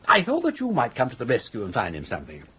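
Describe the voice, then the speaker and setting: An actor playing a genial, scheming older upper-class English gentleman with an urbane, florid, self-assured manner in a radio comedy drama. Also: medium pitch, huge pitch swings, quick pace, husky, heavily acted.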